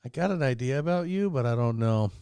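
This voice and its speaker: A man with a mid-pitched, very lively voice chats as a talk show co-host. He is playful and jokey, in a casual group setting. The recording is close and dry. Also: fast, gravelly, clear, resonant tone, no room sound. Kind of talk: casual group chat, joking.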